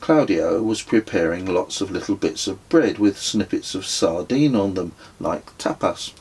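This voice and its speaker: A man reading his own memoir as an audiobook, with husky, measured, descriptive narration. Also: low-pitched, lively intonation, a little mellow. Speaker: author reading own book.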